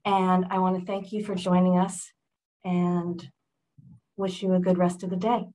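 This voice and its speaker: A woman hosting a webinar and giving measured, thankful closing remarks. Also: lowish voice, little pitch movement, dark tone. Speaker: webinar host.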